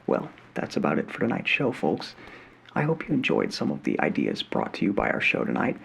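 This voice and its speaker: A man voicing an earnest 1950s television presenter, speaking in soft, mostly unvoiced whispers. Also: rumbling low, huge pitch swings, a little fast, creaky, recorded from a distance, pitched below the performer's own voice, heavily acted. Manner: mostly unvoiced whispering, old-fashioned host patter. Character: earnest male 1950s TV presenter, folksy and sure. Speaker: ASMR creator playing a 1950s TV host. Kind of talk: soft-spoken ASMR roleplay of a 1950s talk show.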